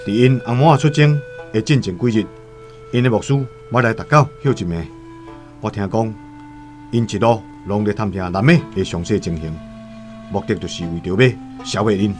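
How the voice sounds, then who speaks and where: A male actor plays a shrewd, self-serving trader in a radio drama, telling his side with a calculating air. The voice is low, with a Taiwanese accent and faint music behind it. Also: normal speed, close to an everyday voice. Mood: sly, self-justifying. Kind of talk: radio drama dialogue and first-person storytelling.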